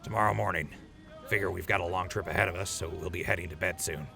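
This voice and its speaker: An unflustered, slightly crafty older hand of a wasteland crew in a radio drama. The voice is deep and gravelly, with a folksy, easy delivery over a music bed. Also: male voice, steady tone, quick pace, pushed and bright, exaggerated voice. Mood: reassuring. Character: easygoing, wily adult man leading wasteland crew. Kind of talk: post-apocalyptic audio drama acting.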